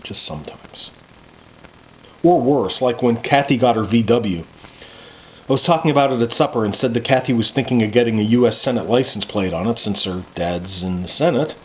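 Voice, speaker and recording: Muffled recording, man reading a novel aloud. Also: mid-range voice. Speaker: author reading own novel. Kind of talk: audiobook-style first-person fiction reading. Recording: muffled lo-fi with background noise.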